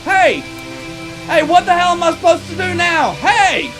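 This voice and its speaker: An actor playing a crude, foul-mouthed young thug who panics and curses, in an audio drama. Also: male voice, very high-pitched, measured pace, music in the background. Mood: panicked.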